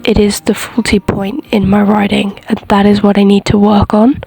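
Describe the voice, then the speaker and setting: Even-toned woman narrating over soft music. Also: medium pitch, moderate pace, creaky. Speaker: horse rider narrating own lesson footage. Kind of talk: casual voiceover commentary.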